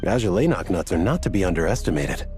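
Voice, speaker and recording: A man's voice: low-pitched, sing-song, quick pace, over music. A man voicing an earnest, easily sidetracked wanderer in a video game, telling his story with small stumbles. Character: earnest, rambling man of uncertain ancestry.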